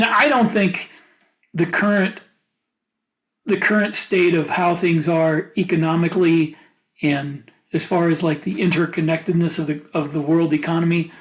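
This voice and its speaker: A man talks casually as a podcast co-host. He is matter-of-fact in delivery and readily counters what others say, laying out his points in conversational phrases. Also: on the high side. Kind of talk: casual discussion.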